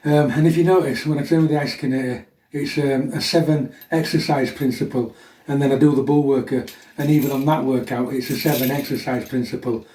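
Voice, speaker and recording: A man in middle age speaks with a dark, relaxed tone that is a little chesty. He chats plainly to his fitness audience, often checking in with a "yeah?". He sounds some distance from the microphone in a reverberant room. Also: mid-range voice, fairly quick. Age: middle-aged. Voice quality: slightly chesty. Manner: chatty, blunt, frequent "yeah?" tags. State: getting over a chest infection. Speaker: fitness vlogger. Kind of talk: casual chat to viewers introducing a workout. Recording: echoey room, mic at a distance.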